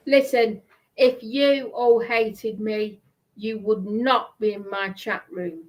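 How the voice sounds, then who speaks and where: A woman's voice: a little high, fairly even intonation, slow. A woman live streamer delivering a confrontational monologue in an accusatory, emphatic, repetitive manner.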